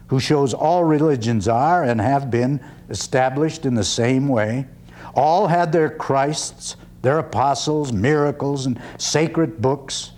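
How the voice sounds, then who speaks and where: A man performing a dramatic one-man stage reading as an earnest, skeptical 19th-century orator, with deliberate, emphatic phrasing and pauses. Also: mid-range voice, a little mellow.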